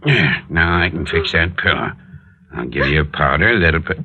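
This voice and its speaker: A man acting a folksy, fatherly, excitable older country doctor in a 1940s radio mystery serial, with a husky voice. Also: very deep voice, normal speed, exaggerated voice. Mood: soothing. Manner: folksy, old-fashioned exclamations. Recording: dull 1940s radio recording.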